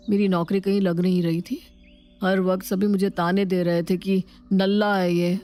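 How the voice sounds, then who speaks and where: A man's voice: sits high, a little mellow, natural voice. A man playing an earnest, defensive young Indian husband in a family story, explaining himself at length. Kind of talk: scripted Hindi family story dialogue.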